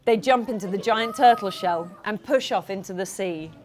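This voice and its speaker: A woman children's author reading her story aloud to young listeners in a playful, expressive style. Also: mid-range voice, normal speed. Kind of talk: animated storytelling to a live audience of children.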